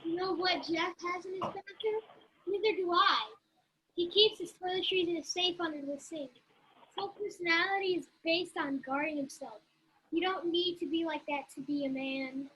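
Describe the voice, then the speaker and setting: A woman's voice: very high-pitched, fairly even intonation, moderate pace, bright, forceful tone, a little hiss or hum, rough recording. A woman reading the part of a sardonic but supportive young woman in a sitcom table read. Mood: warm, encouraging. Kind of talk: amateur table read acting.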